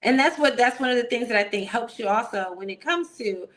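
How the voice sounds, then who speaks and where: A woman's voice: fairly high pitch, animated, a little fast, fairly bright tone. A woman hosting a casual interview who talks informally and keeps encouraging her guest. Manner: encouraging, chatty, informal ("girl", "daggone"). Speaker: host.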